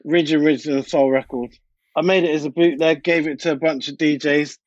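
A man's voice: on the high side, steady tone, a little fast, no room sound, dull audio. A man, a DJ and producer, chatting casually in an interview and repeating himself for emphasis. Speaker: DJ and music producer being interviewed. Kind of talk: casual interview chat, anecdotal.

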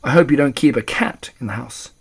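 A man voicing a calm, frosty old Norwegian grandmother in a storybook, matter-of-fact and unflustered. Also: mid-range voice, fairly quick, gravelly, breathy edge.

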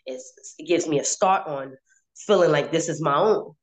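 A woman's voice: low-pitched, lively intonation, normal speed, rough recording. A woman in her late twenties telling her own story casually and candidly, repeating phrases for emphasis. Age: 20s.

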